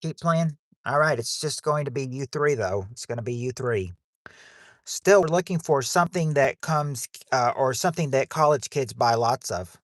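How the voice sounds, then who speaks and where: A man's voice: medium pitch, normal speed, no echo, studio quality. A man hosting a livestreamed game night, chatting loosely and often restarting his sentences. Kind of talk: casual chat while hosting a game.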